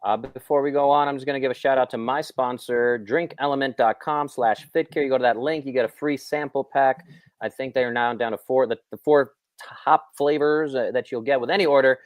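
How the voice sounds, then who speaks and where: A man hosting a podcast who makes relaxed, friendly small talk and reads a sponsor message. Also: mid-range voice, brisk, dry recording. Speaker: podcast host. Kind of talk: casual interview hosting with sponsor read.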